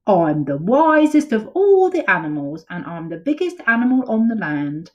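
A woman voicing a big, wise male elephant in a children's storybook, speaking in a slow, measured, rumbling way. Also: sing-song, dull audio, close to an everyday voice. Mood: calm. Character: huge wise male elephant, calm, proud.

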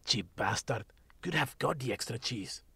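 A sarcastic male talking cat from an audio drama, as a man voices him, gravelly and husky with a gritty rasp under every line. He is blunt and swears freely, and the recording is close and dry. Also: mid-range voice, lively intonation, normal speed, bright, forceful tone, no room sound, exaggerated voice. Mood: grumpy. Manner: sarcastic, blunt, swears, drops Spanish words. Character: grumpy foul-mouthed male talking cat. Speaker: magical shop cat.

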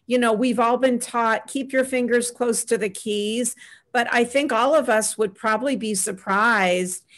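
A middle-aged woman on a video call panel, giving earnest practical advice and often pausing with "um". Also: a little high. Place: small home room with framed pictures. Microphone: webcam on a video call.

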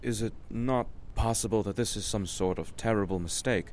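A man playing a formal, loyal butler in an audio drama, measured and deferential. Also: fairly low pitch, a little mellow, a little hiss or hum, natural voice. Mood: polite, protective.

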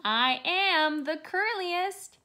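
A woman voicing excitable, boastful little blades of grass in a children's storybook, with exclamatory, drawn-out delivery. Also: extremely high pitch, voice pushed up, exaggerated voice. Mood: excited, boastful.

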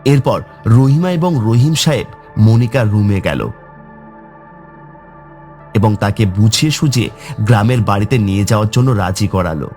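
A man's voice: fairly low pitch, expressive, normal speed, long pauses, a little mellow, soft background music. A man narrating a scripted horror story for a cartoon.

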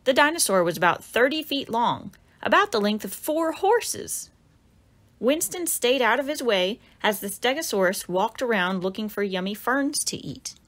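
A woman narrates a children's picture book in a fairly high voice. Her reading is lively and expressive, with pitch that swings widely. She leans into dramatic exclamations as she tells the story to young listeners. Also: moderate pace.

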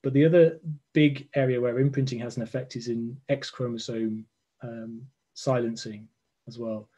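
Soft-spoken man on a video call. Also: medium pitch, steady tone, moderate pace, slightly rough. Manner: thoughtful, hesitant, many fillers and restarts. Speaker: genomics lecturer, guest scientist. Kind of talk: explaining research in a live online interview. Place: home room with bookshelves. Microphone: video call webcam.

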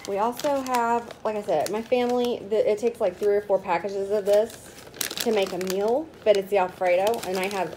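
A woman's voice: medium pitch, darkish tone. Chatty woman unpacking groceries, noisy room. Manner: chatty, itemizing each product. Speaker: vlogger. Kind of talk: casual grocery haul show-and-tell. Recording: noticeable background noise. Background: rustling bags and crinkling packaging.

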